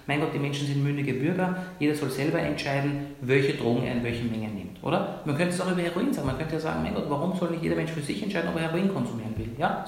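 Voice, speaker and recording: A man from Iran who is a doctor, arguing emphatically as an interview guest, often ending points with a quick tag question. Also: mid-range voice, vocal fry. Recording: very echoey room.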